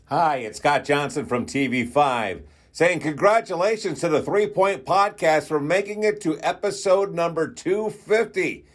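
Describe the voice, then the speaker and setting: A man's voice: a little high, unhurried. A man from television delivering a congratulatory message. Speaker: TV personality giving a greeting.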